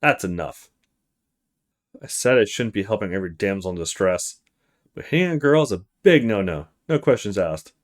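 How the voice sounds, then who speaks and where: A man voicing a polite, decent young male student who is new in town, calm and earnest, in a visual novel. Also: fairly low pitch, normal speed, pitched below the performer's own voice, lightly acted. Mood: firm. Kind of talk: visual novel character read-aloud.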